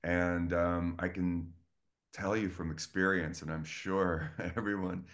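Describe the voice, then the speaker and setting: A man's voice: deep voice, level tone, slow, a bit bright. A man from Estonia, a guest coach, talking earnestly and effusively in a casual interview over a video call. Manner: earnest, effusive, many restarts.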